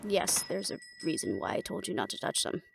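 A woman's voice: deep voice, sing-song, brisk, creaky, background noise, heavily acted. A woman playing a confident, teasing monster hunter in an audio roleplay, with a wry, coaxing manner. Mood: wry, affectionate. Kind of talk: intimate scripted audio drama acting.

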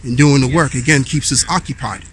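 A man in his thirties talks to a handheld camera as he strolls a park path. His preaching is easygoing and mid-pitched, broken up by fillers as he reads verses aloud. Faint outdoor noise sits behind him. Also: a little fast, a bit bright, rough recording. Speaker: street preacher vlogger. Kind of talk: walking vlog, preaching and reading scripture. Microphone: handheld camera at arm's length while walking.

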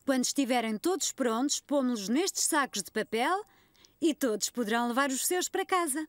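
An actress with a European Portuguese accent voicing an encouraging young preschool teacher in a children's cartoon. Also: female voice, high voice, lively intonation, a little fast, no room sound, studio quality.

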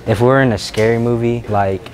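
Young man, prankster, casual slangy talk, medium pitch, supermarket, background music. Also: fairly even intonation, normal speed. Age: young adult. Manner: slangy, says bro often. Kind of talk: casual joking talk with strangers. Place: inside a large supermarket.

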